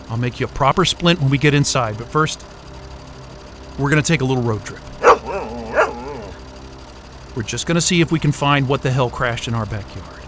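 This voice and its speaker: An actor playing a wry, foul-mouthed, caring explorer in a naturalistic science fiction audio drama, talking casually with muttered hesitations. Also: male voice, medium pitch, fairly bright tone, a little hiss or hum, lightly acted. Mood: gentle, reassuring.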